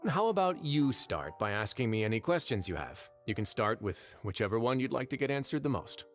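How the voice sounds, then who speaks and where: A man playing a composed, shrewd fortress chief in a video game, speaking in a measured, formal, wry way. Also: mid-range voice, big pitch swings, soft background music, close to an everyday voice. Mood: courteous, wry. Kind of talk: scripted video game voice acting.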